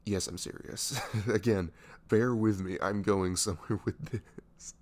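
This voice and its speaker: A man playing a goofy young best friend in an ASMR roleplay, halting and mock-professional. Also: lowish voice, steady tone, a little mellow. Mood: mock-serious, playful.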